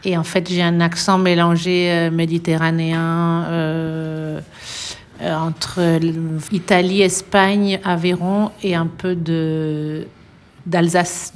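Middle-aged woman, interviewee, lowish voice, reflective, pauses often. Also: little pitch movement. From France. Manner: thoughtful, hesitant with many pauses, light laughs. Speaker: interviewee, violinist. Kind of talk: relaxed radio interview.

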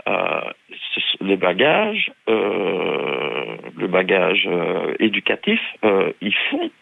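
A man in his forties, calling in to a radio show by phone, talking haltingly with many restarts. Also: sits low, huge pitch swings, gravelly, slightly rough, dry recording. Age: 40s. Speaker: caller. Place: in Spain. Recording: tinny phone line.

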